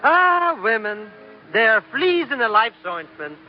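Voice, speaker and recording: An actor playing an excitable, money-loving roguish foreign sidekick, philosophizing with mock innocence in 1940s radio comedy style. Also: male voice, very high-pitched, takes it slowly, soft background music, exaggerated voice. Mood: rueful, comic. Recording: muffled old radio broadcast.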